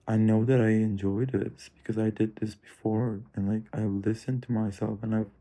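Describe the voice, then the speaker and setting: Guy rambling to himself, dark mellow voice, lotta fry, cursing and trailing off. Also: fairly low pitch, steady tone, moderate pace. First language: Turkish. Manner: musing, drifting, profane, softly trailing off. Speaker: streamer. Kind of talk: rambling self-talk monologue.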